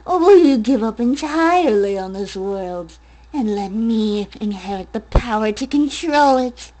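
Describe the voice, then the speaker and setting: A woman's voice: a little high, expressive, measured pace, mellow, slight background noise, rough recording. A woman voicing a gleefully sinister game villain prince, mocking and menacing, stretching words for effect. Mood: mocking menace. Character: gleefully sinister, taunting prince of a kill-or-be-killed world.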